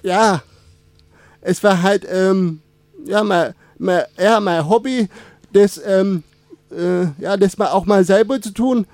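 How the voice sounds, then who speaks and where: A man in his twenties, Turkish as his first language, answering interview questions in a casual, halting way with many fillers and restarts. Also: high voice, darkish tone, no room sound. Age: 20s. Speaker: radio guest.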